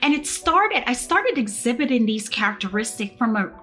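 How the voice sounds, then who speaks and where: A woman's voice: on the high side, sing-song, fairly bright tone, soft background music. A woman from Jamaica, giving a personal motivational talk in an earnest storytelling manner. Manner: slow, earnest storytelling with pauses. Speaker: motivational speaker.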